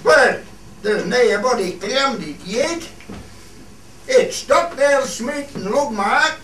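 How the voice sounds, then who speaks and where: A man's voice: very high-pitched, lively intonation, slow, a bit bright, heavily acted. A man playing a jovial rustic shepherd in a radio play, speaking broad Cumbrian dialect. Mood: amused. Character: jovial rustic shepherd describing stray sheep. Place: theatre studio. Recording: roomy, distant mic, faint noise.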